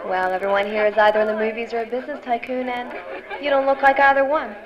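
A woman as high-voiced prim young radio-drama actress. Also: little pitch movement, fast talker, a crowd in the background, natural voice. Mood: polite, shy. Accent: American. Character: polite, prim young aspiring actress, chatty gossip. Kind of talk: 1940s-style radio drama acting. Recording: dull lo-fi sound, loud music behind.

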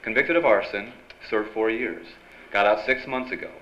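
A man's voice: on the low side, moderate pace, pushed and bright. An actor playing a wisecracking, hardboiled newspaper reporter in a noir radio drama, with wry tough-guy slang. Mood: musing, wry. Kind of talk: noir radio drama acting with first-person narration. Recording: dull, noisy live radio-play recording.

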